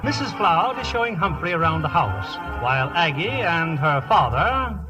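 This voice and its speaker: An American man announces in vintage radio style. His voice is lightish and animated, reading scripted narration over loud music on an old broadcast recording. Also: on the high side, moderate pace, low-quality recording.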